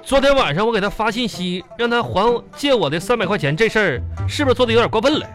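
A man's voice: high-pitched, expressive, quick pace, fairly bright tone, faint music behind. A man playing a boastful, sentimental young former classmate in a radio comedy, chatty and melodramatic, with a Northeastern Chinese accent. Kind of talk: comedic radio drama dialogue.

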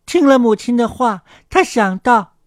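Higher-voiced woman narrating a fairy tale. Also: lively intonation, a little slow, no room sound, clean studio sound.

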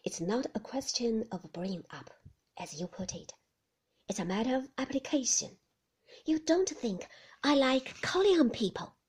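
A woman reading an audiobook voices a moody, brooding older husband in a slow, hushed half-whisper. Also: on the high side, husky, full character voice. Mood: dismissive.

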